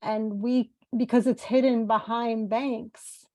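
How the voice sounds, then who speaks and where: A woman's voice: on the high side, steady tone, slow pace, a little mellow, dull audio. A woman leading an online workshop, explaining things in an informal, conversational lecture style. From born in the United States to Italian parents, spent half of life in Italy. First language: bilingual. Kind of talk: informal lecture and group facilitation.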